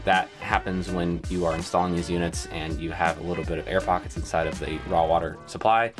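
Low-voiced man explaining boat gear. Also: a little fast. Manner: practical, step-by-step, relaxed. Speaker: sailing vlogger. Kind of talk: casual how-to explanation. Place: inside a small sailboat cabin. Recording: background music over voice.

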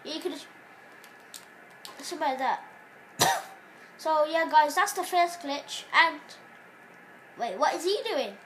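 A woman hosting a gaming channel, giving casual rambling commentary on gameplay while sick, sounding nasal and stopping to cough, recorded in a dark room on a phone aimed at a TV. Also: high voice, animated, a little slow, pauses often, slight background noise.

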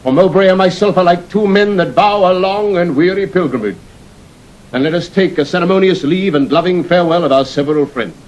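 A man's voice: sits high, fairly quick, a little mellow, lo-fi sound, close to an everyday voice. A man as a bold young noble challenger. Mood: confident, fervent. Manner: ringing, fervent declamation. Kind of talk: classical Shakespearean audio drama acting.

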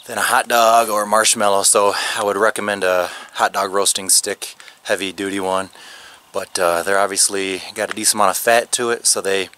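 Guy in his 30s chatting to his camera at a campsite, bright forceful voice, slightly low, faint outdoor hiss. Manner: relaxed, chatty, with fillers and restarts. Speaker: vlogger. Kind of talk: casual vlog talk to camera. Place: outdoors at a snowy campground and inside a camper. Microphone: handheld camera at arm's length.

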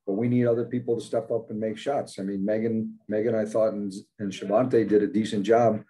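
A man's voice: on the low side, little pitch movement, fairly quick, mellow, low-quality recording. An older man, a basketball head coach, giving plain-spoken postgame answers full of fillers over a video call. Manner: plain-spoken, many fillers, matter-of-fact. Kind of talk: postgame press conference answers. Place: indoors in front of a logo backdrop. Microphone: video call, webcam at desk.

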